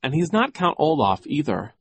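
A man's voice: fairly high pitch, very animated, moderate pace, slightly rough, no echo, voice pushed up, full character voice. A man voicing an earnest, polite teenage orphan girl who speaks up insistently in an audiobook story.

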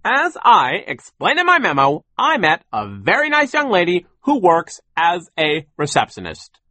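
A man voicing a gruff, impatient male boss in an audiobook story, barking dismissively and emphatically. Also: high voice, huge pitch swings, unhurried, pushed and bright, dry recording, pitched above the performer's own voice, heavily acted.

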